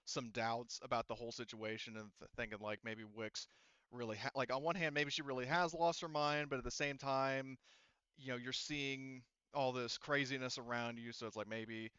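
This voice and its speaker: A man acting as game master, narrating a tabletop roleplaying game casually and haltingly, thinking aloud. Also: mid-range voice, flat delivery, sharp tone, clear, resonant tone, no echo, dull audio.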